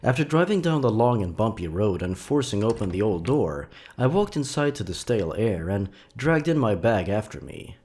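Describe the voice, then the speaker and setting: A man narrating scripted creepy stories in a dramatic, suspenseful, theatrical way with drawn-out pauses. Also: fairly low pitch, big pitch swings, darkish tone. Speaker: horror story narrator and channel host.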